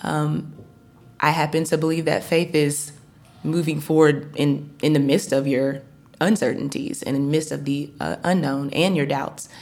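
Low, level-voiced woman chaplain. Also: fairly even intonation, darkish tone. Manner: thoughtful, measured, with ums. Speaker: assistant university chaplain. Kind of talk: interview soundbite.

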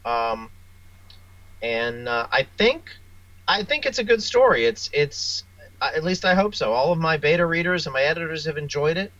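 A man's voice: on the high side, animated, moderate pace, fairly bright tone, dry recording, highs cut off. A man giving casual interview answers as a guest author, rambling and hesitant in his delivery. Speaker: interview guest, author and audio drama producer.

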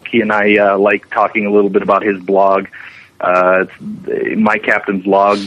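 A man's voice: lowish voice, completely flat delivery, normal speed, highs cut off. A man chatting casually as an interview guest. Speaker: interview guest, special effects animator. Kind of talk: casual chat.

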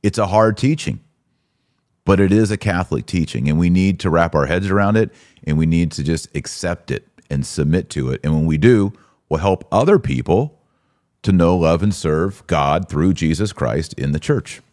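A man's voice: sits low, animated, moderate pace, a little mellow, no echo, clean studio sound. A man hosting a conversational religious podcast, informal, using rhetorical questions and repeating phrases for emphasis. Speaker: podcast host.

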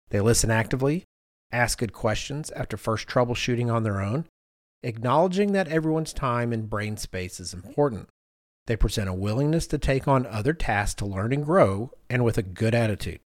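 A man in his fifties speaks as the host of a conversational podcast. His voice sits at a middling pitch, recorded dry with little room around it. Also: dry recording. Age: 50s. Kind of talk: conversational discussion, reading out responses.

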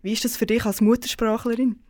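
A woman's voice: fairly high pitch, level tone, fast talker, a little mellow. Woman hosting a talk. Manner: asks long rambling questions with fillers. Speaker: podcast host. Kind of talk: conversational interview.

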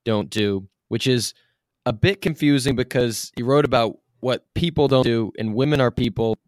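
A man's voice: mid-range voice, moderate pace, darkish tone, dry recording, clean studio sound. A man hosting an interview in a casual, informal, chatty way, peppering his talk with "like". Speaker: podcast host, interviewer.

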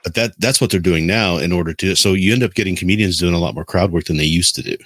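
A man with a very low, rough-edged voice co-hosts a casual podcast chat. He explains things in an anecdotal, conversational way, recorded close and dry. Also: fast talker, fairly bright tone, no echo. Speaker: podcast co-host.

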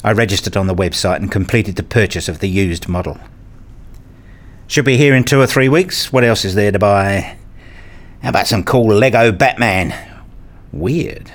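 A man's voice: on the low side, normal speed, natural voice. Introspective, pedantic husband played by a man. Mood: brooding, introspective. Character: brooding, rule-obsessed husband and father narrating his life. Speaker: narrator and lead character. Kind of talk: first-person radio play narration and dialogue.

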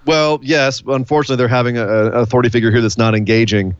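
Male podcast co-host, mid pitch, playful and animated, dry dull home-mic sound. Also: quick pace, clean tone, no echo. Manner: animated, playful, hypes announcements. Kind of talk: casual wrestling podcast chat.

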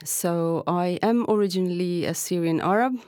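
A woman's voice: lowish voice, normal speed, a little mellow, dry recording, studio quality. A woman journalist from Turkey, bilingual with Arabic as her first language, answering interview questions thoughtfully, often restarting phrases and laughing lightly. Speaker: journalist guest. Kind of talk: podcast interview.

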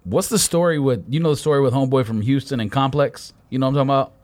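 A man's voice: medium pitch, very fast, a little mellow. A man co-hosting a podcast in casual chat, informal and peppering his talk with filler words. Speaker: podcast co-host.

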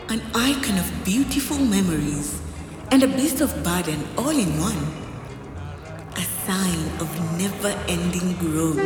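Woman doing a slow solemn narrator, kenyan accent, big echoey hall, music. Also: mid-range voice. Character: poetic female narrator of the train.

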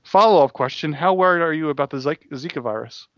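A man co-hosting a podcast, playful and quick to laugh as he asks questions. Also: a little high, no echo. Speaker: podcast co-host asking questions. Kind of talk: casual comedic chat. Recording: dull dry lo-fi audio.

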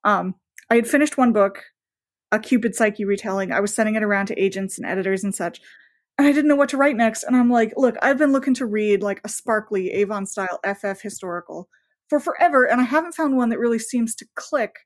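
A woman's voice: a little high, brisk. A woman novelist talking casually as an interview guest, enthusiastic and rambling, often voicing her own thoughts as asides.

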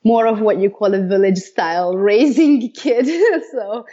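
A woman in her thirties from Ethiopia talks casually as a guest. She fills her talk with pauses and frequent laughs, at a middling pitch. Her voice comes through slightly dull and lo-fi. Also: mellow. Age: 30s. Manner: laughs often, many fillers. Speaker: guest, runner.

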